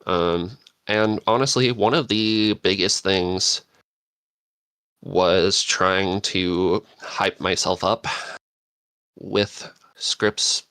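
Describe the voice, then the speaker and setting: A man giving casual advice as a podcast panelist, halting and thinking aloud as he goes. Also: low-pitched, fairly even intonation, fairly bright tone, clean tone, dry recording, rough recording. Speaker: podcast panelist, script writer.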